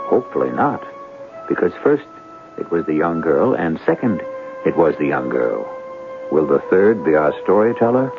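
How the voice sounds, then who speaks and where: A man hosting a radio mystery show, narrating in an ominous, theatrical and deliberate style with suspense. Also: low-pitched, gravelly. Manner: deliberate, suspenseful, rhetorical questions. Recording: muffled old radio broadcast, faint music. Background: faint music.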